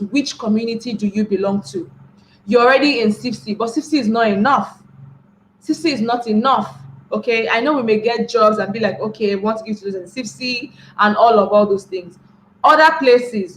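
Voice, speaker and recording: A young Nigerian woman giving a motivational webinar talk, repeating key phrases and checking in with her listeners by asking "right?". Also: on the high side. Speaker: webinar speaker. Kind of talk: motivational talk to an online class. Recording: echoey room with faint background noise.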